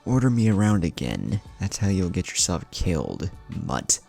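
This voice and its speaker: A man's voice: low voice, huge pitch swings, normal speed, gravelly, darkish tone, slightly rough, faint music behind, exaggerated voice. A man voicing a bratty, violent teenage villain girl in a story, whiny and threatening. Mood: muttered threat.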